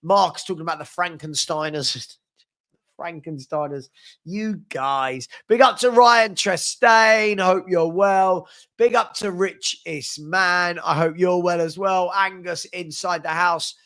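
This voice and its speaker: A young man hosting a live fan chat stream, upbeat and chatty as he reads out viewers' comments and gives shout-outs, with a head cold that leaves him a bit sniffly. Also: high-pitched, steady tone, a little slow.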